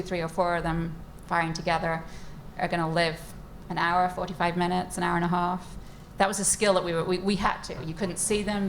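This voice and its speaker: A woman's voice: fairly low pitch, gravelly, a little hiss or hum, rough recording. A woman scientist speaking on a panel at a live event, enthusiastic and chatty, laughing easily as she tells stories from her work. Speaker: neuroscientist panelist. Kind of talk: panel discussion talk. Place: event space with live audience.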